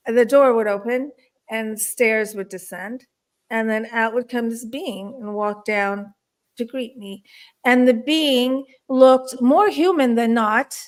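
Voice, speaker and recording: A woman from Turkey who grew up in the Washington DC area, talking conversationally as an interview guest and telling personal stories. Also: on the high side. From born in Istanbul, Turkey; grew up in the Washington DC area. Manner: frequent throat clearing. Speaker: guest, spiritual counselor and experiencer.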